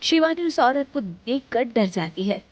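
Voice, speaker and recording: A woman's voice: on the high side, animated, a little slow, no room sound. A woman reading a scripted narration for a cartoon family story.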